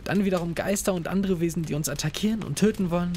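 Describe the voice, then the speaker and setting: A man's voice: sits high, fast talker, mellow, natural voice. A man voicing a young male student exploring a haunted town in an amateur audio drama, talking eagerly and urgently. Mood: determined. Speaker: voice actor in a fan audio drama. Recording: ambient noise bed behind voice.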